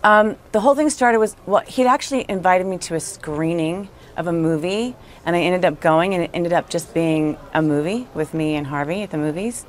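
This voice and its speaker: A woman with a very low voice recounts a personal experience as an interviewee. She is earnest and halting. There is faint noise in the clip. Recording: noisy interview clip playing.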